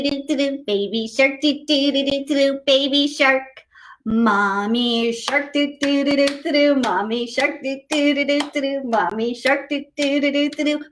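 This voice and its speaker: A woman's voice: high voice, a bit bright. A woman hosting a live story time for children, reading and singing in a playful, exaggerated sing-song way and talking straight to the kids. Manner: addresses young children directly with questions. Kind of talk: live read-aloud and sing-along for kids.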